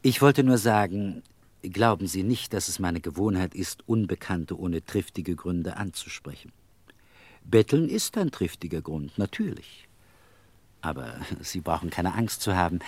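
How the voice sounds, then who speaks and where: Casting: eccentric, talkative middle-aged beggar with bourgeois airs, very lively pitch, dry close sound, radio drama. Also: male voice, unhurried, no echo, heavily acted. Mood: chatty, ingratiating. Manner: theatrical, rambling storyteller, wry. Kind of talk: radio play acting.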